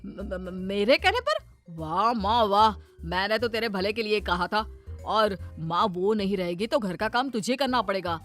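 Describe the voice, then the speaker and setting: A manipulative young husband from a cartoon family drama, played by a woman. He wheedles and protests in a bright, lively-pitched voice, always playing innocent. Music runs behind him. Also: unhurried. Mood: indignant, flustered.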